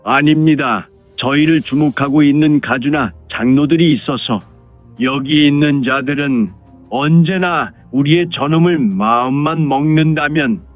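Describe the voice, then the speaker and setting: 남자가 연기하는 높은 톤의 아부하는 무협 가주. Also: male voice, on the high side, unhurried, close to an everyday voice. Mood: secretive, earnest. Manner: deferential, formal, explanatory. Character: hot-blooded clan lord, fawning toward his superiors. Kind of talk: Korean martial-arts audio drama acting. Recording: phone-like narrow sound, music behind.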